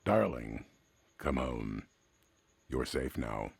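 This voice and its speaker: A man voicing a decaying robot who gently believes he is a father, slow and wistful, in a radio story. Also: a bass voice, very animated, creaky, husky, no room sound, exaggerated voice. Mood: tender longing.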